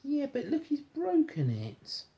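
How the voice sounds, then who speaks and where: A man reading a storybook aloud to a child in an informal, encouraging, gentle way, with chatty asides. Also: extremely high pitch, huge pitch swings, a little slow, vocal fry, muffled recording. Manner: encouraging, gentle, echoes the child's words back. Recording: home recording with faint background noise.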